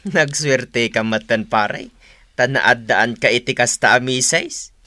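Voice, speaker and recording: A teasing drinking pal in an Ilocano radio comedy. He drawls slowly in a very sharp, forceful voice, mocking his friend, recorded dry and close. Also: medium pitch, no echo. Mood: casual. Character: teasing, slow-talking male drinking buddy. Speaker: radio drama actor. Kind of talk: comic radio drama acting.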